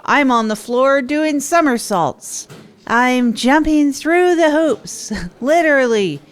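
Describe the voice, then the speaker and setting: A woman's voice: sits high, lively intonation, slow pace. A woman playing a hapless amateur comedian, telling stories in a rambling, earnest, self-deprecating way for an audio drama. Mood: eager, nervous performing. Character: Tog, hapless adult storyteller and failed stand-up comedian. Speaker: narrator and radio host. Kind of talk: improvised comic monologue in an audio drama.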